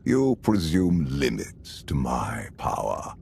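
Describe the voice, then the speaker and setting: An actor playing an ancient immortal emperor, serene and supremely arrogant, with regal, deliberate, imperious video game delivery. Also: male voice, a bass voice, wildly swooping pitch, gravelly, a little mellow, slight background noise, exaggerated voice. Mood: imperious calm. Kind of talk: dramatic video game voice acting.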